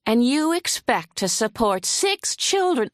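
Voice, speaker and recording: A woman's voice: a little high, sing-song, unhurried, no room sound, studio quality, pitched above the performer's own voice. A woman voicing a huffy, sarcastic female lawyer cross-examining a witness in an audiobook. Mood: huffy, badgering.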